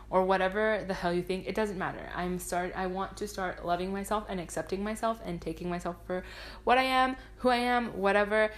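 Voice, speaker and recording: A woman's voice: mid-range voice, fairly bright tone. Young woman, first language Spanish, rambling solo podcaster, self-deprecating and swears freely. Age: 20s. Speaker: podcast host. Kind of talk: casual solo podcast monologue.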